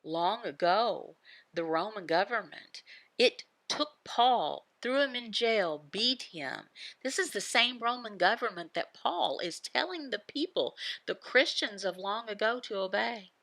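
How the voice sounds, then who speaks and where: A woman teaches a devotional at a slow, deliberate pace. Her voice is bright and forceful with a gravelly creak, and the sound is close and dry. She speaks in short, halting phrases, posing questions and answering them herself. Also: mid-range voice, lively intonation, no room sound. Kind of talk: devotional teaching voicing a signed sermon.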